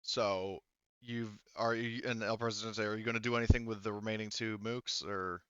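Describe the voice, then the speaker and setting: A man's voice: on the low side, little pitch movement, moderate pace, sharp tone, clear, resonant tone, no echo, muffled recording. A man casually moderating a tabletop game as game master, hesitant and thinking aloud as he goes.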